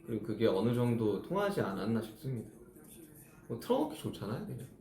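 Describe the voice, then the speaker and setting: A man's voice: medium pitch, big pitch swings, fast, warm tone, echoey room. A man in his thirties, a musician chatting casually with fans on a live stream, reflectively explaining how he writes his songs. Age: 30s.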